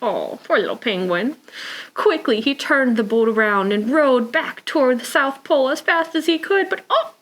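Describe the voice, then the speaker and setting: A woman's voice: high-pitched, normal speed. A woman reading picture books aloud for a preschool storytime, speaking expressively and simply, as if to young children. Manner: expressive, with sighs, gasps and exclamations; simple child-directed phrasing. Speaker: children's librarian storytime presenter.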